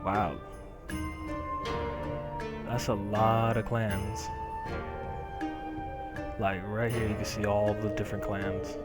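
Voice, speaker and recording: Young guy chatting over his game, kinda low voice, music in the background. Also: little pitch movement, takes it slowly, pauses often. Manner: musing, thinking aloud while clicking. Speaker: gaming channel host. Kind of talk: casual gameplay commentary.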